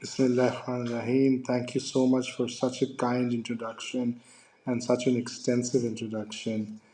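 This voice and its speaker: A man from Pakistan, a guest on a podcast, talks about his background with a warm, mellow tone. His pitch stays steady and flat. He speaks politely and restarts a phrase now and then. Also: a little hiss or hum, low-quality recording. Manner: measured, polite, some restarts. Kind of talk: interview answers.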